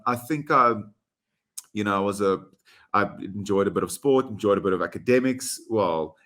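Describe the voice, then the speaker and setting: A young man from South Africa with a South African accent, a native Afrikaans speaker, talking as a guest over a video call in a warm, hesitant way with many fillers. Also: medium pitch. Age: young adult. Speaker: podcast guest, actor. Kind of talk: casual interview conversation.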